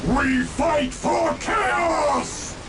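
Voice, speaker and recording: An actor playing a fanatical, raging chaos warlord in a video game, ranting in a grandiose, theatrical way. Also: male voice, very high-pitched, big pitch swings, exaggerated voice. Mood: wrathful. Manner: ranting, drawn-out, grandiose delivery. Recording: heavy battle noise behind.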